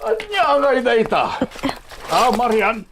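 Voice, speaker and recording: A man's voice: very high voice, wildly swooping pitch, slow, background noise, exaggerated voice. A man with an Ilocano accent playing a scornful, nagging father-in-law in a radio drama, scolding with exclamations and sarcastic questions. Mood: angry, nagging. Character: nagging, scornful father-in-law berating his daughter-in-law as lazy. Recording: music bed behind the dialogue.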